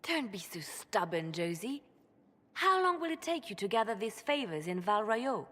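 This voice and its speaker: A woman's voice: medium pitch, sing-song, normal speed, fairly bright tone. Shrewd spymaster woman in an echoing hall. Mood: cool, insistent. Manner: assured, pragmatic, teasing. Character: shrewd, ruthless female spymaster. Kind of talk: video game cutscene acting. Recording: long hall reverb.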